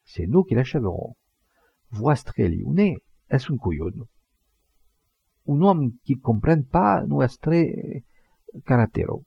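A man voicing a rough village strike leader who speaks slowly in a mangled southern dialect. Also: mid-range voice, very animated, dry recording, dull audio, exaggerated voice. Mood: defiant.

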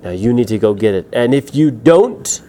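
A man's voice: mid-range voice, expressive, takes it slowly. A man voicing a menacing rat from a gang in a children's story, insistent and commanding, repeating orders. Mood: threatening. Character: bossy, menacing gang of big rats.

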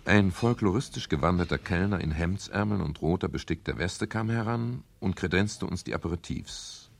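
A middle-aged private eye from a 1970s radio mystery. His voice is low, gravelly and husky, and his delivery is dry, wry and expressive. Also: normal speed. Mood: dry narration. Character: private detective, middle-aged man, irritable yet wry and charming. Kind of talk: 1970s German radio crime drama acting and first-person narration.

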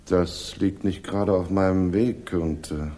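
An actor playing a self-assured, pious police inspector in a radio crime drama, measured and condescending in his authority. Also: low voice, fairly even intonation, dark tone. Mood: cool, warning. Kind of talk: 1960s German radio crime drama acting.

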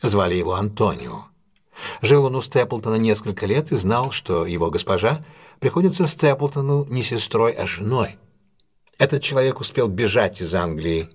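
An actor playing a composed, analytical male detective in an audio drama, explaining things in a deliberate, self-assured way. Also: lowish voice, big pitch swings, breathy edge. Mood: composed, explanatory. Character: composed, analytical male English detective recounting a solved case.

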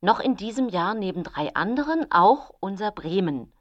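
A woman's voice: medium pitch, sing-song, moderate pace, no echo, close to an everyday voice. Actress as a meddling older mother, slightly dull sound. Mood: hopeful. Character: meddling, pushy mother of a widowed adult daughter. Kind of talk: radio drama dialogue.

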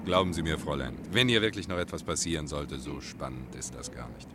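An actor playing a flirtatious, self-important stage player in a German radio play, teasing and grandiloquent. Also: male voice, a bass voice, brisk, noisy background.

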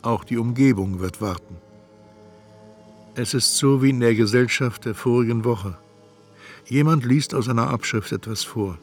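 An actor playing an anxious, brooding storyteller who talks to himself in a literary radio drama. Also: male voice, medium pitch, normal speed, pauses often, husky. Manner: introspective, talking to himself. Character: anxious, brooding first-person male storyteller. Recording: music behind the voice.

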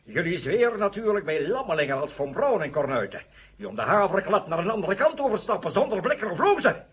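An actor playing a bitter, vengeful professor with a sarcastic, theatrical delivery in a radio drama. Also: male voice, sits high, big pitch swings, fairly quick, husky, heavily acted. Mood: bitter, scornful. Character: bitter, vengeful male bomb-inventing professor, scornful of Americans. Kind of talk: 1970 Dutch radio drama acting. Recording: muffled old 1970 radio recording.

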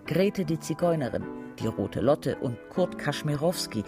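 Gravelly, higher-pitched male narrator. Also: lively intonation, unhurried, over music. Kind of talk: literary radio drama narration.

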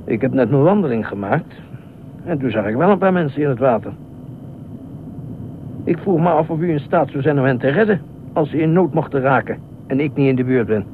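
A man's voice: medium pitch, expressive, fairly quick, pauses often, phone-quality sound, lightly acted. Noisy old radio-play actor as a fussy, meddlesome man. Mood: polite, probing. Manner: formal, insistent, probing questions. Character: fussy, anxious, meddlesome male beach regular pestering a stranger. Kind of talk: Dutch radio play acting. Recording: noisy, thin band-limited old radio recording.

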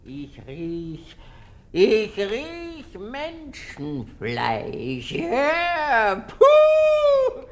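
A woman playing an eerie simple-minded fool in a radio drama, reciting in drawn-out, repeated phrases. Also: on the high side, wildly swooping pitch, heavily acted. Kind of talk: literary radio drama acting.

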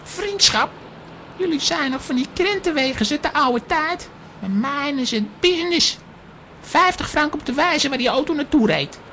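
Cocky, bright high-voiced boy. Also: animated, moderate pace, slight background noise. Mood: cheeky, defiant. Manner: brash, mocking. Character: cocky money-minded neighbour boy, street-smart and cheeky. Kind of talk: children's audio drama acting.

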